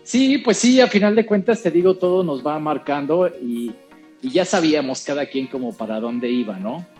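A man's voice: on the high side, normal speed, faint music behind. A middle-aged man from Costa Rica, talking casually as a guest who often laughs. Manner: chatty, relaxed, laughs often. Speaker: guest, actor and presenter. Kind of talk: casual conversation.

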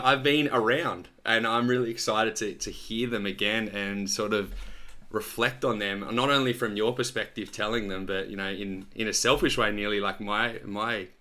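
Aussie guy hosting a podcast over a video call, 30s, low-ish bright punchy voice, excited and rambly. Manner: enthusiastic, rambling with frequent restarts. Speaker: podcast host. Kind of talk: friendly casual interview chat.

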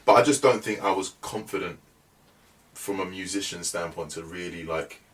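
A man's voice: low-pitched, normal speed, recorded from a distance. A young man, talking casually as a musician guest on a podcast, full of fillers. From England. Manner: relaxed, chatty, many fillers like "you know what I mean".

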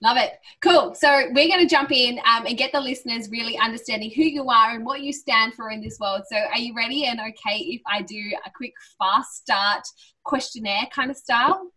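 Bright, bubbly young woman hosting a podcast. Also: a little high, moderate pace, poor audio. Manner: bubbly, effusive, gushing praise. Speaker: podcast host. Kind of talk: casual interview.

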